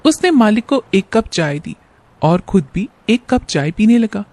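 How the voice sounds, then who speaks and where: A female narrator reads a scripted moral tale. Her voice is medium in pitch. The recording is dry, with no audible echo. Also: very animated, a little slow, a little mellow.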